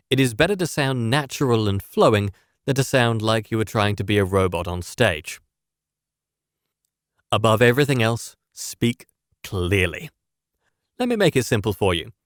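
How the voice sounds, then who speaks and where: A man presenting a scripted public speaking lesson as a coach, speaking deliberately and clearly with emphasis on key words. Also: medium pitch, dry recording, clean studio sound. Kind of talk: scripted instructional narration.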